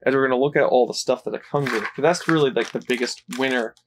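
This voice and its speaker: Male vlogger, mid pitch, easygoing off-camera narration, faint noise underneath. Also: quick pace. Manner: relaxed, rambling, with fillers. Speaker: toy unboxing vlogger. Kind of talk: casual unboxing commentary.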